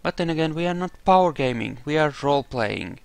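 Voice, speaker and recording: A man giving casual role-playing game commentary, haltingly thinking aloud. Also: fairly high pitch, lively intonation, gravelly, clear, resonant tone, no room sound. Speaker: gameplay narrator.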